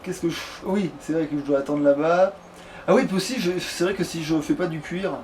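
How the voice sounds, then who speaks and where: A man's voice: on the high side, fairly quick, darkish tone, far from the mic, a little hiss or hum. A man doing casual let's play commentary, rambling and grumbling in crude slang with plenty of swearing. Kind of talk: casual gameplay commentary chat.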